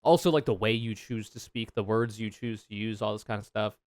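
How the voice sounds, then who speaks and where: A man with a deep, smooth voice, reacting to a video in frank, casual commentary that is full of swearing. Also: brisk, a little mellow, no room sound, studio quality. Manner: frank, swears freely, thinks aloud.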